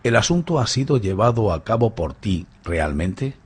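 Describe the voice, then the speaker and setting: Low, rough-voiced police inspector played by a man. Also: very animated, moderate pace. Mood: earnest. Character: amused, straightforward male police inspector. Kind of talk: radio drama acting.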